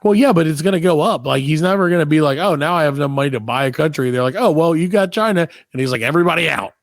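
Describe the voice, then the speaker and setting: A man's voice: fairly high pitch, animated, very fast. A man hosting a comedy podcast, casually swearing and riffing in wry mock quotes. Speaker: podcast host.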